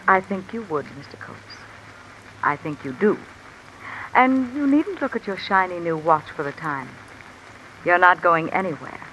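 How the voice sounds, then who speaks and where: Cool, calculating blackmailing wife, sharp-voiced. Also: female voice, lowish voice, a little slow, long pauses, poor audio. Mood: coolly insinuating. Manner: insinuating, controlled, coolly commanding. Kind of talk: 1950s American radio mystery acting. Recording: old radio recording with steady rain noise. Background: rain falling.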